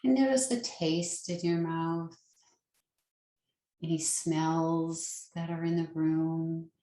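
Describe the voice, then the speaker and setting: A woman leading a guided meditation as a yoga nidra teacher, with a soothing, gentle and unhurried manner. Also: sits low, steady tone. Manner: soothing, unhurried, gentle instruction with long pauses. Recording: roomy, echoing sound.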